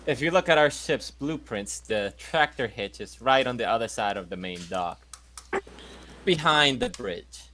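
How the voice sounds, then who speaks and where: A man's voice: medium pitch, animated, unhurried, noisy background. A man playing a tabletop game, talking casually with swearing and sarcasm. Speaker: player and ship pilot.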